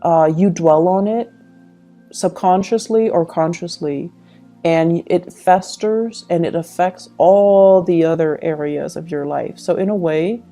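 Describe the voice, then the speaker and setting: A woman's voice: fairly low pitch, measured pace, warm tone, soft background music. A woman reading tarot, speaking directly to the listener in a reflective, advising way. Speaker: tarot reader and channel host.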